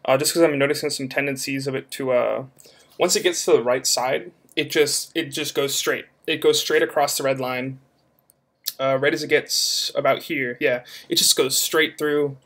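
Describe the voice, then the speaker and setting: Casting: male visual effects artist, mid-pitched voice, informal and hesitant, rambling through a work-in-progress walkthrough. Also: steady tone, normal speed, a bit bright. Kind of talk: casual screen-recording walkthrough.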